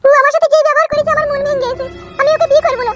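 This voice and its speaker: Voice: very high-pitched, steady tone, very fast, dry recording, faint music behind. A performer voicing a frank, aggrieved fourteen-year-old village girl in a cartoon comedy, speaking plaintively. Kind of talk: Bengali cartoon comedy dialogue.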